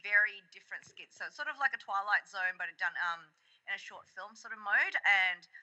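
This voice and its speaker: A woman's voice: mid-range voice, lively intonation, a little fast, bright, forceful tone, muffled recording. An Australian woman from Melbourne, a podcast guest and actress, talking casually with lots of ums. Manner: rambling, many ums. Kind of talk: casual interview chat.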